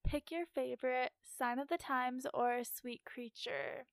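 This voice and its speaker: A woman's voice: high voice, steady tone, unhurried, gravelly, clean tone, no echo. A young woman co-hosting a casual chat, giggly and easygoing. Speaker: podcast co-host.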